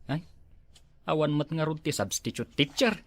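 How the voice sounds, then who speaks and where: A man's voice: on the high side, normal speed, a bit bright, dry recording. A man acting an inquisitive, gossiping coworker in an Ilocano comedy drama, with an Ilocano Filipino accent. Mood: curious.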